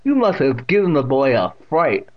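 A man voicing a stern, eerie deaf old woman of at least eighty in a storybook reading, with slow, drawn-out, commanding speech. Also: mid-range voice, very animated, highs cut off, natural voice. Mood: playfully scolding.

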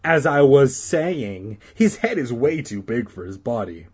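A man's voice: mid-range voice, sing-song, measured pace, darkish tone, breathy edge. A man voicing a bossy, scornful schoolgirl from a storybook, snappish and whining. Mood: insistent whining. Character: bossy, scornful schoolgirl, dismissive sister with dramatic opinions.

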